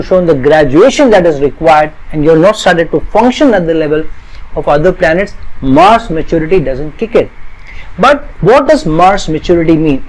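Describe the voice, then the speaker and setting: A middle-aged astrologer man from India with a notably high voice. He explains earnestly, piling up repeated parallel phrases one after another. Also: a little mellow, poor audio. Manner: earnest, repetitive, builds lists of parallel phrases. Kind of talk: explanatory talk to camera.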